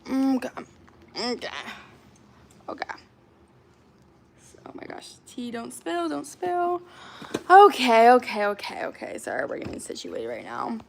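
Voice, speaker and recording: Raspy young woman livestreamer. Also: fairly high pitch, big pitch swings, measured pace, pauses often, slight background noise. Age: 20s. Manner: chatty, lots of fillers like "like". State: slightly hoarse. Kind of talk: casual livestream chat.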